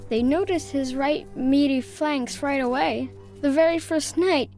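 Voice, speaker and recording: High little kid prisoner, sardonic and matter of fact, fairy tale game. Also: female voice, measured pace, darkish tone, soft background music. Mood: grimly matter-of-fact. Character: sardonic, doomed captive child.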